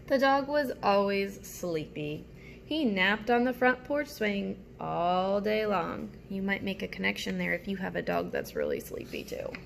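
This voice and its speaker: A woman reading a picture book aloud as a teacher, with simple, deliberate phrasing and asides to young listeners. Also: medium pitch, very animated, creaky, a little hiss or hum.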